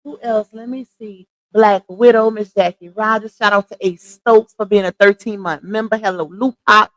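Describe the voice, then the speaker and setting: A woman hosting a casual livestream, chatting with viewers, greeting them by name and calling them y'all, her voice starting to go hoarse. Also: mid-range voice, steady tone, moderate pace, poor audio. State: voice going hoarse. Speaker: livestream host.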